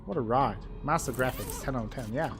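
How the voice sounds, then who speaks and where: Male let's-player, playful, reads game text aloud, steady middle pitch, music bed. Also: fairly quick. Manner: playful, reads narration with exaggerated pitch swings. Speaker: gameplay streamer. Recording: music playing underneath.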